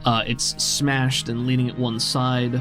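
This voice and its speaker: A man's voice: medium pitch, flat delivery, measured pace. A man acting as game master, describing scenes in a conversational storytelling way for a tabletop roleplaying game. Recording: music behind the voice. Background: background music.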